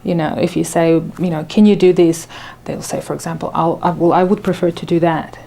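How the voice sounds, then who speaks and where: A woman's voice: on the low side, steady tone, a little fast, gravelly, dark tone. A middle-aged woman whose first language is Ukrainian, a radio station manager giving thoughtful interview answers with frequent fillers and restarts.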